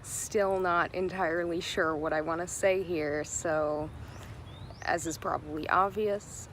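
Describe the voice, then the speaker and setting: A person speaking casually and a little hesitantly in an unscripted personal video message. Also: medium pitch. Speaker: organizer and presenter of a fan tribute video. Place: outdoors. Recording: background noise, outdoor ambience.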